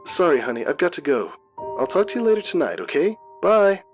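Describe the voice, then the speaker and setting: A man's voice: sits high, sing-song, brisk, lo-fi sound, music in the background, pitched above the performer's own voice. A man voicing a sweet, evasive female pony, a wife who talks affectionately and nervously reassures in a dramatic story reading. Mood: nervous, hurried.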